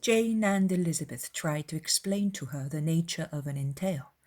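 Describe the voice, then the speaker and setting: A woman's voice: sits low, moderate pace, darkish tone. A woman reading scripted narration of a classic novel as an audiobook narrator.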